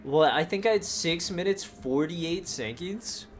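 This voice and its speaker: A man's voice: fairly high pitch, expressive, measured pace, slight background noise. A man doing casual video game commentary, talking to himself and drifting between exclamations and muttering.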